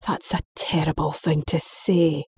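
Woman reading as a stern dad, lecturing, firm, dark mellow tone, husky, dry. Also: low voice, flat delivery, measured pace, no room sound, exaggerated voice. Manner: lecturing, patient but firm. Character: stern, weary middle-aged father.

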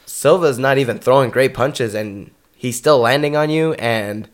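Smooth-voiced man reading fight results. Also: medium pitch. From United States. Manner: halting, many ums, reads results from notes. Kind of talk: casual chat about fight results.

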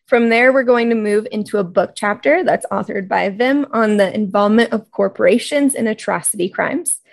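Chatty lady cohost, mid-pitch voice, lots of "kind of" and "like". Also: normal speed, creaky. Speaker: podcast co-host. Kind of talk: casual podcast hosting.

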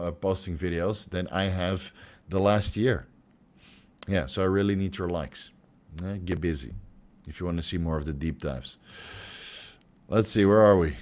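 Hoarse, swearing man hosting a Q&A. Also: low voice, a little mellow. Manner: loose, joking, swears freely. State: voice getting hoarse and stuffy. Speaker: host answering viewer questions. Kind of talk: casual livestream Q&A.

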